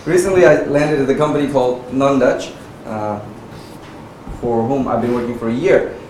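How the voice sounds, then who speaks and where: A man's voice: mid-range voice, moderate pace, darkish tone. Man from Nepal giving an echoey meetup talk. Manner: conversational, frequent "uh" and "right?" tags. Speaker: conference speaker. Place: meetup venue, audience present. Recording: echoey room from far off, noisy.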